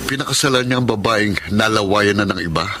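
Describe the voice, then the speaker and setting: An actor playing a cocky, pushy ex-lover in a radio drama, with a smug, taunting manner. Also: male voice, lowish voice, brisk, close to an everyday voice. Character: cocky, pushy ex-lover returned to reclaim his woman. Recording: noisy radio drama recording.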